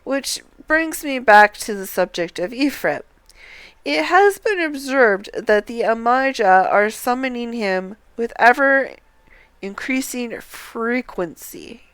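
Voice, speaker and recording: A woman game streamer reading dialogue aloud on a livestream in a deliberate, sometimes halting way, with casual commentary. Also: on the high side, animated, a bit bright, smooth, no echo.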